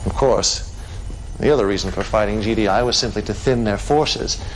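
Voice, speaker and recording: An actor playing a menacing, calculating cult leader and commander, speaking in a deliberate, grandiose, commanding way in a video game cutscene. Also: male voice, lowish voice, a little hiss or hum, lightly acted, rough recording. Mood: smug, scheming.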